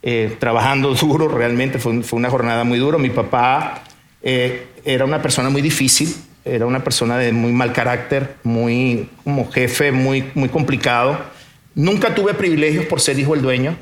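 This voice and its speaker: A man's voice: medium pitch, fairly even intonation, normal speed, reverberant. A middle-aged businessman, born in Portugal and raised in Venezuela, telling his story in a casual interview, hesitant and often repeating words. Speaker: businessman guest.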